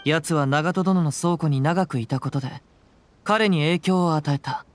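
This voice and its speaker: Man playing a lightly high-voiced, calm young samurai. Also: a little hiss or hum, close to an everyday voice. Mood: grave, perceptive. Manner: measured, archaic samurai speech. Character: calm young wandering samurai, composed and perceptive. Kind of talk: Japanese game voice acting.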